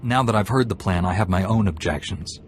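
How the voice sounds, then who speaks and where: An actor playing a confident, defiant Jedi warrior in a video game, blunt and confrontational. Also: male voice, sits low, fairly quick, faint music behind, natural voice. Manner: blunt, confrontational, self-assured. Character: confident, defiant young male Jedi warrior turning dark. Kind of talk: cinematic video game acting.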